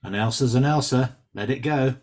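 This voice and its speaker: Man acting a deadpan, sardonic bloke. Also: medium pitch, normal speed, natural voice. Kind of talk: dramatic script read-through.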